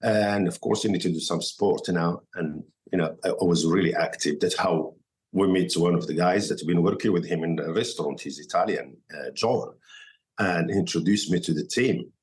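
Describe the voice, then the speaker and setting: A man from Morocco with a Moroccan accent and Arabic as his first language, telling his story as a podcast guest in halting, filler-filled English. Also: sits low. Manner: halting non-native English, many fillers and restarts. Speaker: guest chef. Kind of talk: casual podcast interview.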